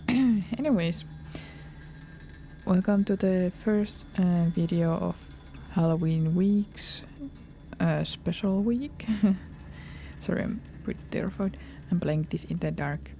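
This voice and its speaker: Woman gamer with a low, bright voice. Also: slow, a little hiss or hum. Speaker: video game streamer. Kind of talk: let's play commentary, reading game text aloud. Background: game music.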